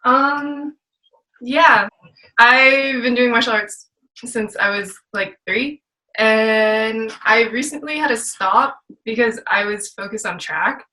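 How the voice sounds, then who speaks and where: A woman's voice: on the high side, takes it slowly, pushed and bright, low-quality recording. A teenage young woman chatting casually as a podcast guest about herself. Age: teens. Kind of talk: casual chat.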